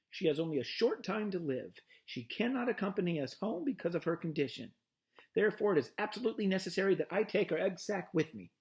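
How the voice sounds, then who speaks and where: A man doing a high, frantic young pig. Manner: pleading, emotional, high-strung. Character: squealing young male pig, anxious and devoted. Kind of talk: storybook read-aloud.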